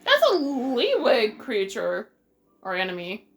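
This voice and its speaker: High-pitched, slow-paced woman gamer. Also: huge pitch swings, a bit bright. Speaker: gamer, let's play host. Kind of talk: casual gameplay commentary.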